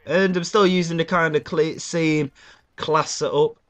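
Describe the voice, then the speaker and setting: A man's voice: fairly high pitch, fairly even intonation, measured pace, a bit bright. A man giving casual gameplay commentary with a blocked nose, rambling and swearing loosely between fillers. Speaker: gaming YouTuber.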